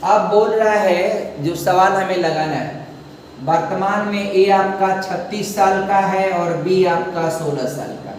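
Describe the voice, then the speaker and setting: A man teaching a math lesson at a board, who often calls the students "dear" and repeats each phrase slowly as he writes it out. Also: high-pitched, a little hiss or hum. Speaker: maths teacher. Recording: echoey room, voice from far off.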